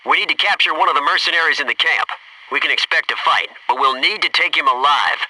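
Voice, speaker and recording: A man's voice: fairly high pitch, fast, bright, forceful tone, distant mic, slight background noise, low-quality recording. A man playing a disciplined, alert American Navy SEAL squad mate in a military video game, giving clipped, businesslike tactical reports.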